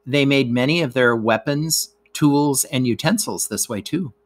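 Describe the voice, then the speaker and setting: A man's voice: mid-range voice, measured pace. Male narrator, mid-range voice, teacher reading scripted classroom material aloud.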